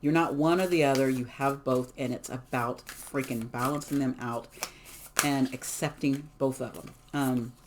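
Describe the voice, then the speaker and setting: A card reader giving a casual collective reading, hoarse from losing their voice, talking in an emphatic, encouraging way with many fillers. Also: medium pitch, steady tone, moderate pace, background noise. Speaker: tarot and oracle card reader.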